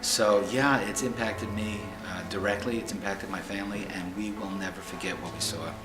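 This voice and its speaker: A middle-aged man recounts his experience with steady, earnest emphasis. His voice sits slightly low. The room around him rings, and clear music plays beneath. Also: moderate pace. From Long Island, New York. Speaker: interviewee. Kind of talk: personal testimony. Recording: echoey room with music underneath.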